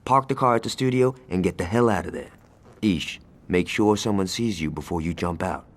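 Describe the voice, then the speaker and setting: A man's voice: low voice, normal speed. An actor playing a brash, hot-tempered male gang enforcer in a game cutscene, blunt, sarcastic and profane. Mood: scheming. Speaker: gang lieutenant in a crime game. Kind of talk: video game cutscene acting.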